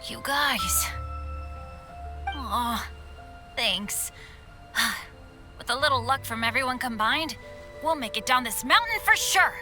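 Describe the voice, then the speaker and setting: High, boyish young adventurer. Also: female voice, expressive, slow pace, long pauses, music in the background. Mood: touched, hopeful. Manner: boyish and earnest. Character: unlucky teenage boy adventurer, earnest, apologetic, stubbornly optimistic. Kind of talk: anime video game voice acting.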